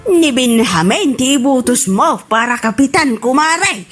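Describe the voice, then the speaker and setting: An opinionated Ilocano village woman in a radio comedy drama. Her voice sits high and leaps around animatedly. She is emphatic and excitable, pressing every point. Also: a little slow, faint music behind. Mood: persuasive. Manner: emphatic, expressive.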